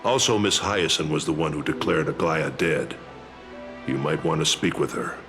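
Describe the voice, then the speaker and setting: Stern noble guard captain, super deep voice, formal n grave, game music behind. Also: male voice, a bit bright. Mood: firm, dutiful. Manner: formal, measured. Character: grave, dutiful male lord commanding city guards. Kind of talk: video game voice acting.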